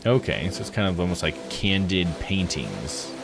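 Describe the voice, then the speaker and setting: Low-voiced man, casual game commentary. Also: very animated, normal speed, vocal fry, over music. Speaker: streamer reading a visual novel aloud. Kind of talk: casual let's-play commentary.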